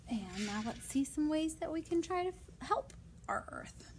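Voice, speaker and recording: A woman's voice: high voice, sing-song, moderate pace, a little hiss or hum. A woman reading non-fiction picture books aloud to young children, adding casual asides, simple explanations and questions to the listeners.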